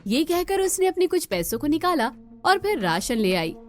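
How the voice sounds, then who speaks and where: A woman's voice: high voice, sing-song, moderate pace, soft background music. A woman reading scripted narration for a cartoon moral story.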